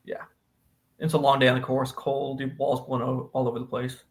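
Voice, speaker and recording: An American man co-hosts a podcast in an easygoing, conversational style. His voice sits at a middling male pitch, and he talks informally, as if with an old friend. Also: monotone, a little fast. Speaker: podcast co-host. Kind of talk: casual banter between friends.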